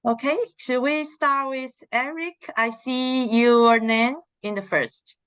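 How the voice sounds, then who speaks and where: Slow hesitant woman running intros on a zoom call, dull muffled audio. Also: high voice, a bit bright, clear, resonant tone, no echo. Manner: hesitant, many fillers. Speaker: training organizer. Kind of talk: leading introductions on a video call. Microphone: video call.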